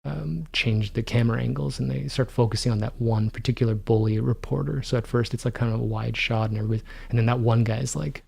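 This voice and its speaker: Male podcast co-host, forties, gravelly, rambling thinker. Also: medium pitch, steady tone, fast, hardly any pauses, darkish tone. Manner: rambling, thinks aloud with many fillers. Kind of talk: casual film-discussion chat.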